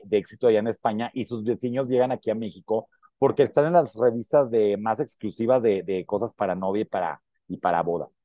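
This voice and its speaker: A man's voice: medium pitch, warm tone, dry recording. A man in his thirties with a Mexican accent, hosting a casual celebrity gossip show and giving opinions, often repeating words as he searches for the next one. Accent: Mexican Spanish. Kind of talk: casual gossip commentary to camera. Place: indoor room with plants behind. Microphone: earphone mic, webcam framing.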